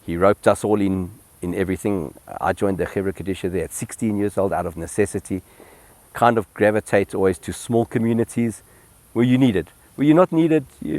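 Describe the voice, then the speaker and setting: A man's voice: fairly low pitch, a little mellow, no echo. A middle-aged man, telling his family history in an interview at home, with many ums and restarts. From South Africa. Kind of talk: relaxed personal reminiscence.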